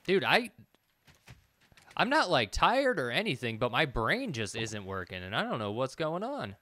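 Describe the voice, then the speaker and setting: A man's voice: mid-range voice, wildly swooping pitch, normal speed, sharp tone. A man in his thirties giving casual gameplay commentary, rambling and thinking aloud as he plays. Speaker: let's play host. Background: game music.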